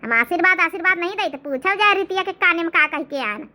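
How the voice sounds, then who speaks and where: Lady doing nasty village saas, awadhi accent, super high sharp voice, grumbly and theatrical cartoon comedy. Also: animated, fast, heavily acted. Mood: defiant. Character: spiteful, grumbling middle-aged village stepmother and mother-in-law. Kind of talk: cartoon comedy drama dialogue.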